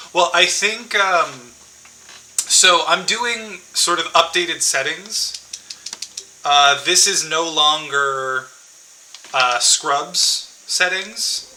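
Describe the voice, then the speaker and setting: A man's voice: a little high, sharp tone, a little hiss or hum, poor audio. A man streaming a game, chatting casually to viewers and thinking aloud with many fillers and restarts.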